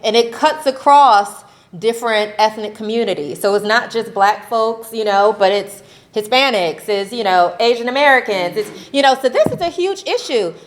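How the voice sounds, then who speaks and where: A woman advocate speaking on a convention panel, animated and laughing often, ending her points with "right?". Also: a little high, moderate pace, slight background noise. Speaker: faith-based advocacy panelist. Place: convention session room.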